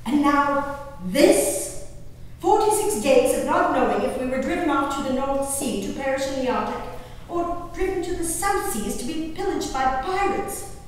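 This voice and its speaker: A woman playing a genteel, dramatic English gentlewoman diarist in a declamatory stage reading, stretching out her emphatic phrases. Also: high voice, animated, moderate pace. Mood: appalled, indignant. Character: genteel, dramatic English gentlewoman diarist, easily appalled, enraptured by wild nature. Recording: echoey hall from far off, faint noise.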